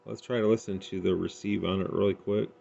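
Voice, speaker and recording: A man's voice: deep voice, fairly even intonation, a little fast, gravelly, mellow. A man giving a casual hands-on gear demonstration, thinking aloud in an unpolished, tentative way. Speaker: CB radio hobbyist reviewing gear. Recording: dull lo-fi home recording, faint noise.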